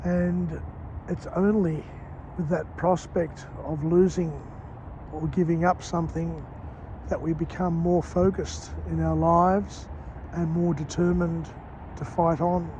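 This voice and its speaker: A man with a hoarse, croaky voice, vlogging on a walk outdoors at dawn and summarising a book slowly in short phrases. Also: a little high, flat delivery, mellow. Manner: slow and deliberate, in short phrases. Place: outdoors on a city street at dawn. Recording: muffled outdoor phone audio with traffic.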